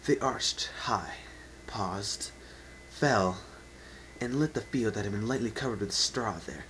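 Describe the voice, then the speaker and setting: A man's voice: fairly low pitch, takes it slowly, a bit bright, slight background noise, low-quality recording. A man narrating an unedited dramatic story reading with theatrical, emphatic delivery. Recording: amateur home recording with faint whine.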